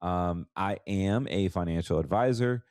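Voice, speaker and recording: Deep, congested American man. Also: unhurried, a little mellow, smooth, dry recording, clean studio sound. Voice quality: stuffy nose, congested. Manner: emphatic, repeats words for emphasis. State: congested from allergies. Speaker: podcast host and financial advisor. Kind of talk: solo podcast commentary, conversational.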